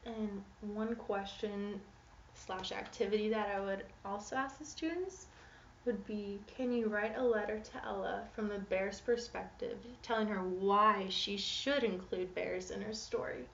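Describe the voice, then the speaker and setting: A woman giving an expressive, interactive children's read-aloud in a teacherly way, putting questions to young listeners. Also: mid-range voice, unhurried, far from the mic. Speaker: teacher reading a picture book aloud. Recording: echoey room, muffled, noisy background.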